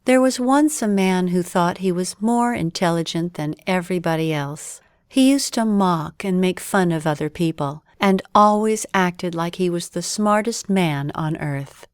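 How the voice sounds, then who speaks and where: A woman's voice: lowish voice, unhurried, few pauses, smooth, dry recording, studio quality. A woman reading a scripted bedtime story as narrator.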